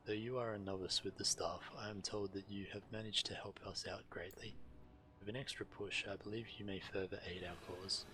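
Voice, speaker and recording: A man reading quest dialogue aloud in a measured way while streaming a game. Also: on the low side, steady tone, pushed and bright, a little hiss or hum, poor audio.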